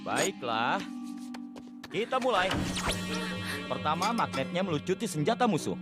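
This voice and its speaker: Seorang pria menyulihsuarakan pemuda penemu yang tulus dan bersemangat dalam kartun. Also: male voice, sits high, very animated, unhurried, loud music behind the voice. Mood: earnest. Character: earnest young male inventor, eager gang leader. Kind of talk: cartoon dub.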